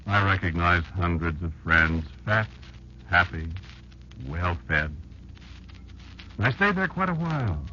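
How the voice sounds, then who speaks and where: A man playing a wary old insect elder in an old-time radio drama, telling a child grim survival tales in a confiding, folksy way with deliberate pauses. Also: low-pitched, expressive, pushed and bright, slight background noise, full character voice. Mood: reminiscing, uneasy. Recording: dull lo-fi old radio recording. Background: prominent music.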